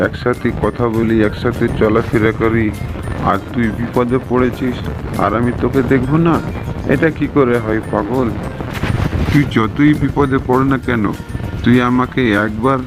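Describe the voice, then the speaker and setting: A man's voice: medium pitch, fairly even intonation, unhurried, music in the background, natural voice, rough recording. Man playing a kindly cartoon village uncle. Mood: friendly, reassuring. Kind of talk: Bengali cartoon moral-story acting.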